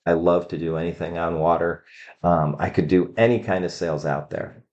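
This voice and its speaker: A man's voice: low voice, fairly even intonation, moderate pace, a little mellow, dull audio. A man in his fifties whose first language is Hungarian, chatting as a guest in a casual interview, friendly and rambling with frequent ums. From Cleveland, Ohio. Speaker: mortgage loan officer guest.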